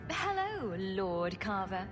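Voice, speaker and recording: An actress playing a wry, assertive young elder sister, heroine of a fantasy video game. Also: female voice, medium pitch, very animated, slow. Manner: wry, dry. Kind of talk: dramatic video game acting. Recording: long reverb, music behind.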